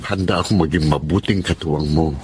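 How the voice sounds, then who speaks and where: A man's voice: very deep voice, steady tone, fairly quick, darkish tone, slight background noise. A man playing a devoted, steadfast Filipino suitor in a radio drama, sincere in manner. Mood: tender, pleading.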